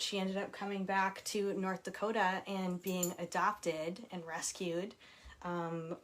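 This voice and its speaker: A woman in her forties, an author hosting a casual live chat from home, reading out viewer comments and laughing often. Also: fairly low pitch. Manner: chatty and warm, reads viewer comments aloud, laughs often.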